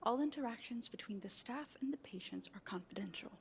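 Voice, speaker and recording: A woman's voice: fairly high pitch, animated, fairly quick, husky, full character voice. A woman playing a guarded, authoritative nurse at a sinister institute in a horror audio drama. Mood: guarded, stern.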